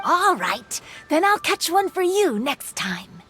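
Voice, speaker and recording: A woman voicing a kind, gentle young woman in game dialogue. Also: very high voice, sing-song, slow pace, over music, heavily acted.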